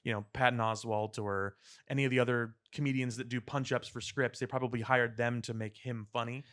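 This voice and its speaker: A man with a medium-pitched voice talks as a podcast co-host. His delivery is casual and conversational, working through points and tossing out questions to keep the chat going. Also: steady tone, brisk, a bit bright. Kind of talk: casual pop culture chat.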